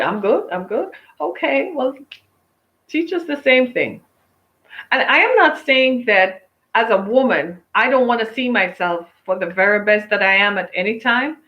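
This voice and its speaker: A woman in her fifties talking casually to viewers, emphatic and preachy, repeating her phrases for effect. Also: mid-range voice. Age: 50s. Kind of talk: casual opinion talk to viewers. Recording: dull lo-fi sound.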